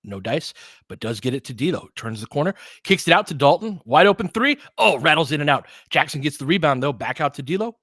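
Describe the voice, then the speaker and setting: A man's voice: a little high, very animated, fairly quick, a bit bright, no echo. Informal man calling basketball, close dry sound. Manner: partisan, urging on the home team. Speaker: play-by-play commentator. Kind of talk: informal live basketball commentary.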